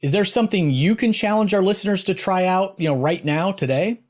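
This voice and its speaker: A man's voice: sits high, a little fast. A man hosting a podcast, interviewing a guest in a conversational way.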